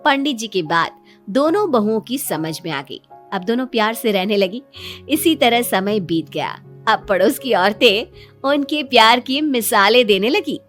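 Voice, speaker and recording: A woman's voice: on the high side, expressive, measured pace, fairly bright tone, faint music behind. A woman narrating a scripted moral story in storybook style.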